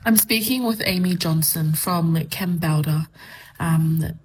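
A woman's voice: low-pitched, takes it slowly, a little hiss or hum. A woman radio host presenting a breakfast show and interviewing a guest in a friendly, conversational way.

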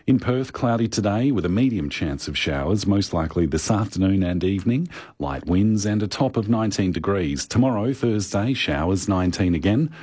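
A man reading a news bulletin and the weather in a steady, formal way. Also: lowish voice, normal speed, husky. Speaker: newsreader.

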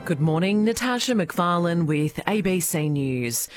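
An Australian woman reading a radio news bulletin in a crisp, measured way. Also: on the low side, lively intonation, over music. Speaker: newsreader.

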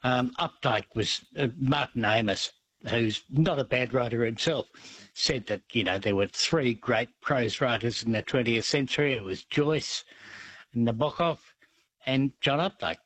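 Man, radio caller, hesitant, slow-paced, halting delivery. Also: mid-range voice, fairly bright tone, breathy edge, low-quality recording. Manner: hesitant, many ums and uhs. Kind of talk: casual radio chat.

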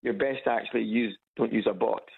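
Gravelly Edinburgh Scot on a phone line. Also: male voice, lowish voice, fairly even intonation, quick pace. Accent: Edinburgh Scottish. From Scotland. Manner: hesitant, repeats words, chuckles at own stories. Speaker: caller. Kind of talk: casual phone chat. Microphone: phone on loudspeaker.

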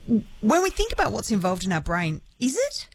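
A woman's voice: medium pitch, wildly swooping pitch, a little fast, slightly rough. A woman hosting a talkback radio show, asking chatty, playful questions. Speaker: radio host.

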